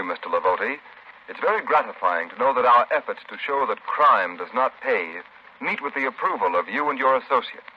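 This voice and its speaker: An American man announcing in scripted 1930s radio style, formal, polished and emphatic. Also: mid-range voice. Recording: muffled, noisy 1930s radio broadcast.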